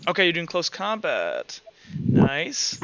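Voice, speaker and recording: A man running a tabletop roleplay session as game master over a voice call, halting and thinking aloud. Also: sits high, bright, forceful tone, muffled recording. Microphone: online voice call.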